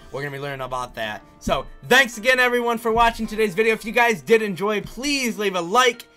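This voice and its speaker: A man with a bright, fairly high voice chats casually through a let's play. He talks straight to his viewers, emphatic and repetitive, with his pitch moving around in a very lively way. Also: fairly quick, faint music behind. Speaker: gaming YouTuber.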